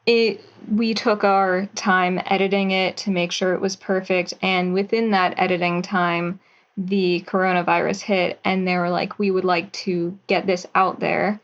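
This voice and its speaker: A woman's voice: on the low side, little pitch movement, measured pace, dull audio. A woman in her twenties, a writer and actress, talking casually and cheerfully as a guest in an interview. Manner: chatty, upbeat, with light laughter.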